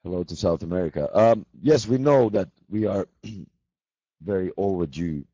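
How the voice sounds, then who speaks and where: Very deep, husky man. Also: no echo. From Finland. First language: Swedish. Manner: calm, measured, dry humor. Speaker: band member answering fan questions. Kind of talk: casual video chat with fans. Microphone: laptop video call mic. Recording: dull lo-fi video call audio.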